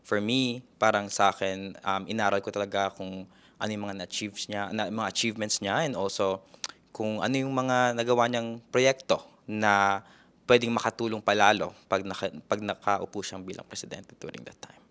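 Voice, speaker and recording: A man's voice: fairly low pitch, steady tone, fairly quick, fairly bright tone, clear, resonant tone. A young Filipino man with a Filipino accent, a nurse answering interview questions in a casual way, switching between Tagalog and English with many fillers and restarts. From Philippines. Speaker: guest, registered nurse.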